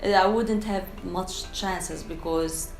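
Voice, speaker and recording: Low-voiced Syrian woman, gravelly fry. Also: steady tone, slow pace, roomy sound, soft background music. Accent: Syrian Arabic. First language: Arabic. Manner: hesitant, searching for words, candid. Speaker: documentary interviewee, freelance translator. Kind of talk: casual documentary interview.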